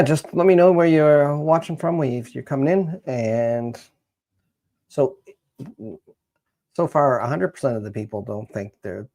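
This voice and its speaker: A Canadian man hosting a casual livestream, chatting to viewers in a rambling, halting way as he thinks aloud, with a gravelly, husky voice. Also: medium pitch, a little mellow. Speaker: livestream host, genealogist.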